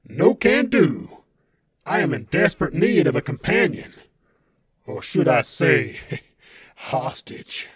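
A man voicing a boastful, menacing supervillain in an audio story, with a rough, theatrical delivery. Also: on the high side, sing-song, slow, long pauses, no echo, clean studio sound, exaggerated voice. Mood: gloating menace. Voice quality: rough, raspy. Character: menacing boastful armoured supervillain, adult male.

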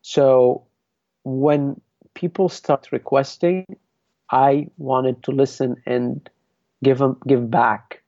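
A man's voice: medium pitch, steady tone, slow, a little mellow, no room sound, highs cut off. A man from Lebanon, a dance artist, talking reflectively in a podcast interview, with many restarts. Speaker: guest, belly dance artist.

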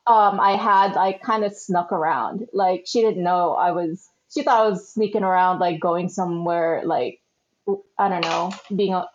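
A woman's voice: lowish voice, steady tone, a little slow. A woman born in the Philippines, a guest chatting casually in an interview, with lots of fillers and easy laughs. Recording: slightly dull lo-fi sound.

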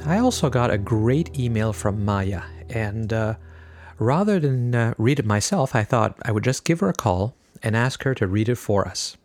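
A man hosting a podcast, talking in an earnest, halting way with fillers. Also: lowish voice, lively intonation, a little mellow, dry recording, faint music behind. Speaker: podcast host. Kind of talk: casual podcast monologue.

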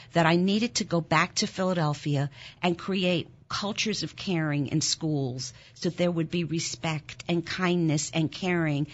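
Woman, 60s, born in Germany, German first language, low voice, intense earnest storytelling, slightly muffled audio. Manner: earnest, intense, rises sharply when moved. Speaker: interview guest, nonprofit founder. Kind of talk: emotional personal storytelling in an interview. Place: Philadelphia.

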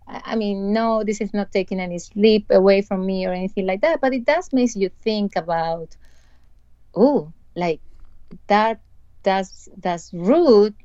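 A woman's voice: medium pitch, darkish tone, no echo, highs cut off. A woman with a Colombian Spanish accent, a podcast host chatting casually and retelling a story with many restarts and hesitations. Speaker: podcast host, dental practice owner. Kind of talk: casual chat.

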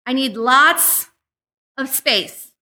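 Woman acting fed-up girlfriend, high voice, piercingly bright, melodramatic, emphatic, old-time radio sketch. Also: extremely slow, heavily acted. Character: exasperated girlfriend dumping a clingy email service.

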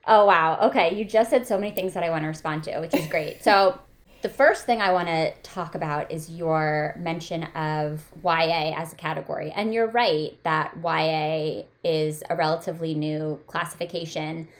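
Woman, podcast host, fairly low voice, teasing banter. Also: normal speed. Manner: playful, bantering. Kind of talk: casual chat.

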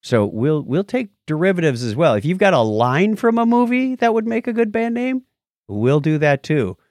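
A man's voice: fairly high pitch, big pitch swings, normal speed, a little mellow, no room sound, clean studio sound. A man hosting a podcast in a casual, playful and enthusiastic chatting style. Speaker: podcast host.

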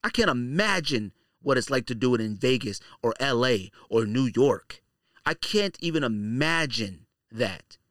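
A man's voice: mid-range voice, expressive, a little slow, a bit bright, dry recording, studio quality. A man hosting a podcast in a casual, opinionated monologue, blunt and slangy, repeating phrases for emphasis. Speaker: podcast host.

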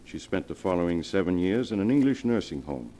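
An actor playing a grave family doctor in 1940s radio melodrama, solemn, measured and authoritative. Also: male voice, sits low, very animated, dark tone, slight background noise. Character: grave, controlled family doctor breaking bad news. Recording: vintage 1940s radio broadcast.

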